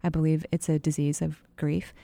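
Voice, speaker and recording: A middle-aged woman hosts a call-in radio show with a chatty, laughing, storytelling manner. Her voice sits fairly low, and the studio sound is dry and close. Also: level tone, moderate pace, creaky, warm tone, no echo. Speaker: radio show host and energy healer. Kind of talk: call-in radio advice chat.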